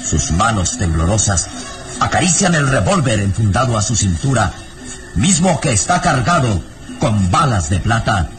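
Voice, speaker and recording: Man narrating an old radio drama, lowish voice, spooky tone, music under it. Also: very animated, moderate pace. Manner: ominous, theatrical.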